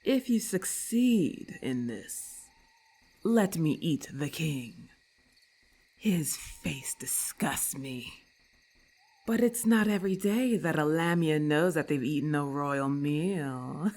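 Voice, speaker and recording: A predatory, haughty female snake monster, played by a woman for an ASMR roleplay. Her voice sits low and moves slowly, each phrase deliberate. She sounds condescending and imperious, with quiet menace. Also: expressive, heavily acted. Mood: hungry, scheming.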